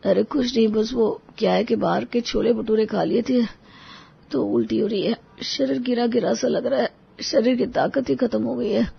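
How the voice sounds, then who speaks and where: A man playing a mild, apologetic sick husband in a Hindi animated moral story, with a husky, weak delivery. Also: very high voice, moderate pace, full character voice. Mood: feeble. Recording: dull, lo-fi audio.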